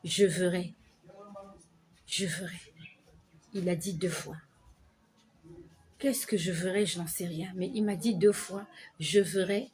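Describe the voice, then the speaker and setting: A woman giving an earnest devotional exhortation to her viewers, repeating phrases slowly and letting her voice sink soft at the ends of lines. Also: fairly low pitch, slight background noise, rough recording. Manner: earnest, repetitive, slow emphatic phrasing. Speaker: online preacher.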